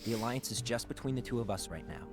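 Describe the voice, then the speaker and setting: Man voicing scheming male leader, game cutscene, businesslike, guarded, medium pitch, clear soundtrack. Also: animated, fast talker, music in the background, close to an everyday voice. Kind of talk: video game cutscene acting.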